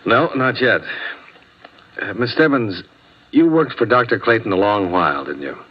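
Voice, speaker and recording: An actor playing a blunt, wry insurance investigator who presses people tersely, in 1950s radio drama style. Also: male voice, lowish voice, animated, normal speed. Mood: probing, insistent. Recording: dull old radio recording.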